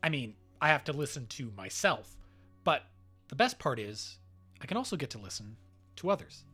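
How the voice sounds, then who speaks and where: A man's voice: mid-range voice, very animated, normal speed, bright, forceful tone, slightly rough. A man narrating a scripted retrospective podcast as its host and producer. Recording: music playing behind the voice. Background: background music.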